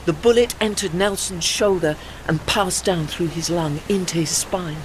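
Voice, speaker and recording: A woman playing a dignified, retired old warship in an audio drama, gentle and wistful, speaking in a measured, articulate and formal way. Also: fairly low pitch, slight background noise, lightly acted, poor audio. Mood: solemn recollection.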